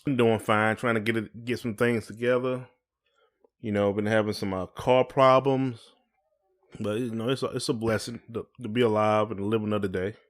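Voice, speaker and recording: A man's voice: lowish voice, fairly even intonation, moderate pace. A man co-hosting a podcast in casual chat, asking questions in informal, hesitant phrasing. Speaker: podcast co-host.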